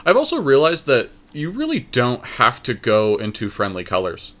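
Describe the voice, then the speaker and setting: A man's voice: medium pitch, huge pitch swings, moderate pace, a bit bright. A man chatting casually as a podcast co-host, weighing card game choices as he thinks aloud. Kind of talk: casual chat while playing a card game draft.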